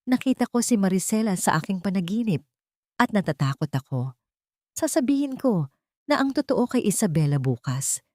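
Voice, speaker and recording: A woman's voice: medium pitch, sing-song, moderate pace, a little mellow, no echo, studio quality, voice pushed up, close to an everyday voice. A woman with a Filipino accent voicing a frightened, guilt-ridden man in a melodrama, anguished and fearful. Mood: afraid, desperate.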